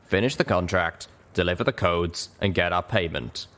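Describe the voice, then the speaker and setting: Guy doing a tough griffin merc lady from a story, low voice, proud. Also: normal speed, fairly bright tone. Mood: businesslike. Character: proud, tough female griffin mercenary leader.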